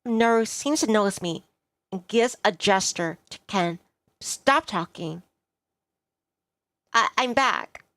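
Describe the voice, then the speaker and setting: A woman's voice: mid-range voice, expressive, moderate pace, dry recording. A woman reading a visual novel aloud expressively, as a streamer narrating a story. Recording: music behind the voice. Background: soft guitar music.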